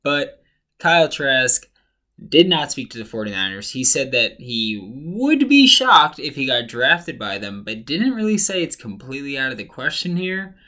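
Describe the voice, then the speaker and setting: Slightly hoarse man, bright-toned podcast host. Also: medium pitch, sing-song, moderate pace. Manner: chatty and opinionated, thinks aloud. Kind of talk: casual sports commentary.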